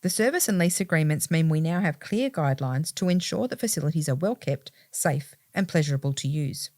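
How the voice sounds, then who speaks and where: Quick, slightly low-voiced woman reading. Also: expressive, a little mellow, no echo, studio quality. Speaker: volunteer reader of quoted statements. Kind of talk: scripted reading of quotations.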